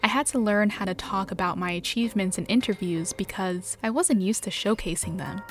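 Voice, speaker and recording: A woman's voice: mid-range voice, a little fast, faint music behind. A young woman from New York, a journalist, reading a reflective, matter-of-fact personal narration. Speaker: journalist and podcast apprentice.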